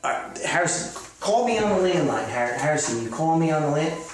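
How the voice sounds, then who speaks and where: A man's voice: on the high side, lively intonation, a little fast, over music, voice pushed up, lightly acted. Echoey, stammering man playing a delusional author. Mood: flustered. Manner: stammering, rambling, folksy, flustered optimism. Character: delusional, chipper, bumbling self-styled world famous author. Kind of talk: improvised-sounding monologue comedy skit. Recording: echoey room, far from the mic.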